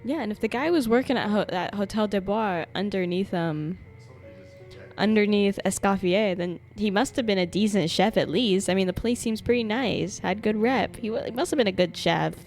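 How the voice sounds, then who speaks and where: A woman giving casual, slangy live reactions as a game streamer, expressive and giggly, swearing freely. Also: medium pitch, moderate pace, soft background music.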